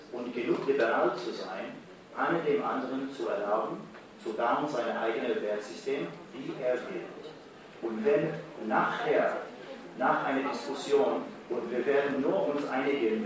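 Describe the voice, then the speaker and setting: A man whose first language is not German, speaking haltingly on a discussion panel and searching for his words. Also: medium pitch. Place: large hall at a community event. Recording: roomy hall sound from far off, noise.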